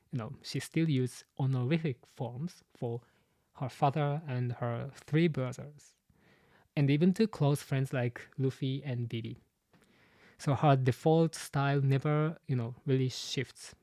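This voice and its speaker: A man with a Japanese accent, a native Japanese speaker, hosting a live-stream commentary and talking haltingly with many fillers and restarts. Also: medium pitch, a little mellow, no room sound. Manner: halting, full of "you know" fillers and restarts. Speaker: channel host and translator.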